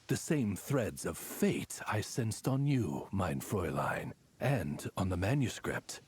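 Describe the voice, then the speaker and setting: Very raspy, courtly raven attendant. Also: male voice, fairly low pitch, sing-song, takes it slowly, darkish tone, full character voice. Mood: solemn, intrigued. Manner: formal, ornate, polite. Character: courtly male raven attendant, loyal and theatrical. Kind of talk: English video game voice acting.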